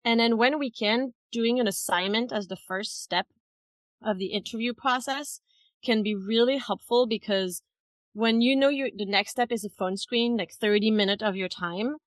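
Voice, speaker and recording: A woman's voice: fairly high pitch, moderate pace, no room sound. Woman in a dry recording. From France. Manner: thoughtful, explanatory, often checks with "right?" and "you know". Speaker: interview guest, head of people at a tech company. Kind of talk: conversational interview answers.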